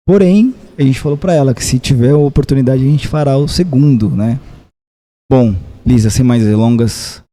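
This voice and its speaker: Dark-voiced male podcast host. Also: on the low side. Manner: chatty, leans on "né?" and "bom". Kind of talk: hosting a parish podcast interview.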